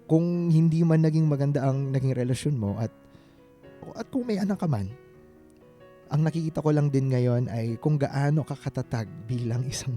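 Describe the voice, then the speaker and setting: Man acting a very dark-voiced, devoted suitor. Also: mid-range voice, natural voice. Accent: Filipino. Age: adult. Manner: tender, halting, sincere. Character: earnest, devoted adult male suitor. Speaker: voice actor in a radio drama. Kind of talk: Filipino radio drama acting.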